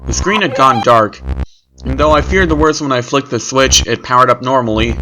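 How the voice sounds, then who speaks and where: Man narrating a story over clear noise. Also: mid-range voice, moderate pace. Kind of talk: scripted horror story narration in first person. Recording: clearly noisy recording with clicks.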